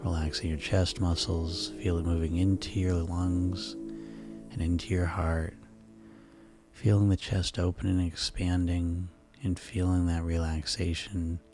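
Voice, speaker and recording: A man guiding a sleep hypnosis session in a slow, soothing, repetitive way. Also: sits low, darkish tone. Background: music.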